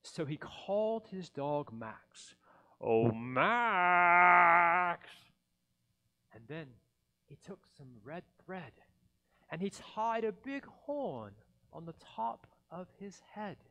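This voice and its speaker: Male storybook reader, forties. Slightly high voice, very unhurried, dramatic pauses, swooping expressive pitch. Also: dull audio. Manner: dramatic, deliberate pauses between phrases. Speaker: pastor reading a children's story. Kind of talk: theatrical children's storybook reading. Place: indoors in a church by a Christmas tree. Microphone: headset mic.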